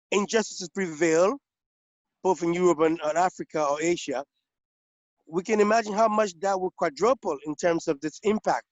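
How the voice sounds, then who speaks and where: Halting man on a lo-fi video call. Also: sits high, no echo. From Liberia. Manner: halting, many restarts and repeated words. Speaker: webinar participant. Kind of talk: spontaneous webinar comment. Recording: dull, lo-fi video call audio.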